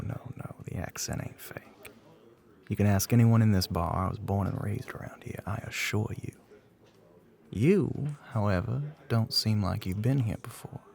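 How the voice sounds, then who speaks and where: A man playing a flirty, middle-aged bartender with a Southern US accent and a low, gravelly voice, teasing in a folksy way in an ASMR roleplay. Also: a little mellow. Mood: amused, curious. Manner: drawling folksy terms of endearment, teasing.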